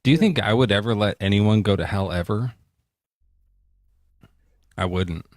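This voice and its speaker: A man's voice: lowish voice, no echo. A man hosting a casual late-night debate stream, joking and often restarting his sentences. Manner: joking, halting, many restarts. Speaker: debate show host.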